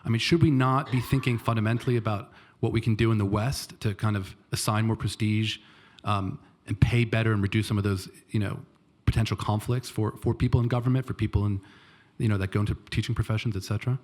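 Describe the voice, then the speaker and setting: A man in the audience asking a long, measured question at a talk. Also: lowish voice, fairly even intonation, a little mellow.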